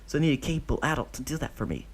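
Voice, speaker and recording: Man putting on a high-pitched pleading boy, twelve, eager and clingy. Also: lively intonation, racing, husky. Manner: high pleading childish voice, tacks on "hmm?".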